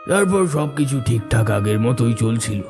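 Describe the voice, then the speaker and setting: A man playing a solemn older villager, reminiscing in measured cartoon storytelling. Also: medium pitch, animated, over music, natural voice.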